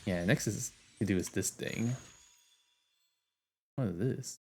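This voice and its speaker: A man streaming a game, reading its story aloud and chatting in a relaxed way, often trailing off. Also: fairly low pitch, slow pace, gravelly, a little hiss or hum.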